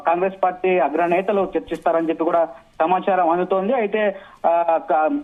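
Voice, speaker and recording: A man reporting live as a news correspondent, halting and restarting his words, over a tinny phone line. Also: sits high.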